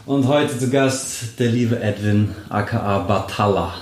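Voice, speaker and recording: A man's voice: lowish voice, slow pace, a little mellow. A man hosting a podcast, reading a scripted intro and then asking his guest friendly, casual questions with plenty of fillers. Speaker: podcast host. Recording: roomy echo.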